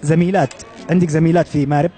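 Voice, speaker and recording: Yemeni man, street interviewer, upbeat brisk questions, very quick, faint background music. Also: on the high side, flat delivery. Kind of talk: street vox pop interviews.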